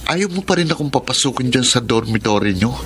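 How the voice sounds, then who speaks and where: A man's voice: medium pitch, lively intonation, a little fast. A man playing a pleading, persistent young boyfriend in a Filipino radio drama. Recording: loud background ambience noise.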